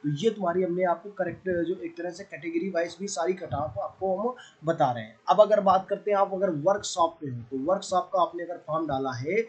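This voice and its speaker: A man's voice: sits high, fairly quick, darkish tone, dull audio. A man with a North Indian accent giving an informal, conversational coaching lesson, often checking in with his listeners. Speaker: exam coaching teacher.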